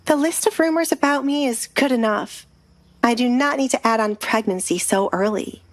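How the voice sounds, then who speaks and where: Narrator voicing a higher-pitched, polite young noblewoman. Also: normal speed, no room sound, lightly acted. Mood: indignant. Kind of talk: dramatized audio romance dialogue.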